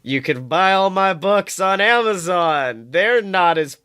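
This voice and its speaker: A man co-hosting a podcast in casual comedic chat, swearing freely with animated exclamations. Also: sits high, takes it slowly, clean tone. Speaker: podcast co-host.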